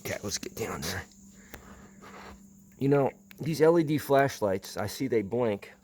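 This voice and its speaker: A man's voice: mid-range voice, expressive, a little slow, a little mellow, slight background noise. A man giving unscripted hands-on commentary as he inspects something, rambling hesitantly and muttering to himself. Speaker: vintage audio enthusiast presenting a video.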